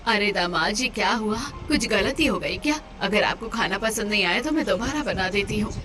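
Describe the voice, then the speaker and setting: A woman voicing a gentle, polite, pleading woman of a troubled household in a cartoon story. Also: mid-range voice, animated, moderate pace, close to an everyday voice. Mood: placating. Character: gentle, distressed, placating women of a troubled household. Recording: clear background noise under voice.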